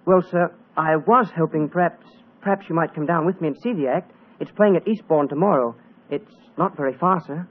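Man as earnest young investigator, slightly high. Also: brisk, lightly acted. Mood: deferential. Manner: polite, eager. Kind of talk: 1940s radio acting. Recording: tinny old radio broadcast.

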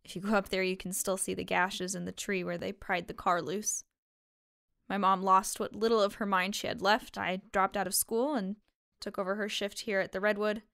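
Guarded, weary young waitress. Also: female voice, mid-range voice, little pitch movement, moderate pace, creaky, fairly bright tone, dry recording, clean studio sound. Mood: resigned, bitter. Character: guarded young waitress grieving her missing sister, weary. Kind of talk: fiction podcast drama acting.

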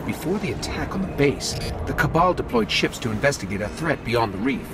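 An actor playing a small, earnest robot guide in a video game, anxious and helpful, explaining things with urgency. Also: male voice, mid-range voice, very animated, fairly quick. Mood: analytical. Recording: loud music and engines behind.

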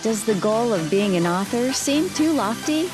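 Lady doing a scripted podcast promo intro, voice a bit high, music behind her. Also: animated, normal speed.

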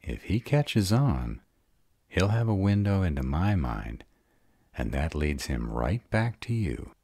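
A man's voice: low-pitched, very animated, slow, darkish tone, husky, dry recording, clean studio sound, heavily acted. A man playing a sarcastic bad-boy vampire in an audio roleplay drama, drawling, guarded but devoted. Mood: earnest, worried.

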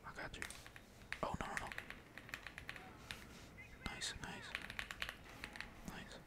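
A gamer speaks entirely in a breathy whisper, giving casual ASMR commentary on their play. The talk is slangy and peppered with swearing, while computer keys clack behind it. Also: slow pace. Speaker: gaming video creator. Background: computer keyboard typing.

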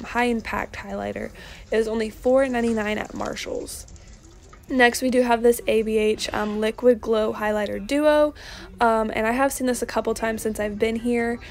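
A young woman narrating a casual shopping haul, chatty and relaxed, with lots of ums and likes. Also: on the high side, gravelly. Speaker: vlogger.

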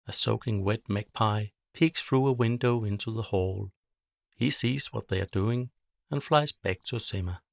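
A man with a lowish, creaky voice narrates a children's storybook. He reads in short, simple phrases, recorded very dry and close. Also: unhurried, no echo.

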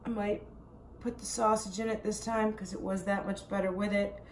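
A woman in her forties talks through her day in a relaxed, rambling video diary, her voice slightly hoarse from a sore throat. She speaks to a camera at arm's length in her home kitchen. There is faint background noise. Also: medium pitch, moderate pace. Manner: chatty, rambling, self-deprecating. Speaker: diet vlogger.

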